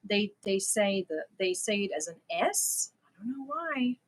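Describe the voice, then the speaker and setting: A woman's voice: medium pitch, sing-song, a little slow. A woman from Germany, chatting casually and a little nervously as she hosts a live stream, prone to giggling. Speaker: crochet live stream host.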